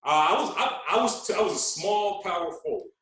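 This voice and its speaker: A man's voice: high voice, brisk, fairly bright tone. An American man in his forties from Florida, a radio personality chatting casually as a podcast guest and repeating words for emphasis. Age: 40s. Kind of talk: casual interview storytelling. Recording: very echoey, roomy sound.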